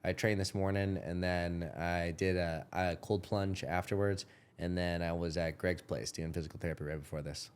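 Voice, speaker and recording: Man, 30s, podcast host, low voice, loose rambling talk, restarts, swears casually. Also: steady tone, normal speed, clear, resonant tone. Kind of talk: casual chat.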